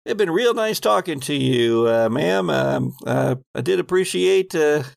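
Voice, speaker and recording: A man playing a shy, polite, awkward working man, halting and folksy, in a tabletop role-play. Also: fairly high pitch, lively intonation, gravelly, dry recording, studio quality, full character voice. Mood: bashful, awkward. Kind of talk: improvised role-play by a game master.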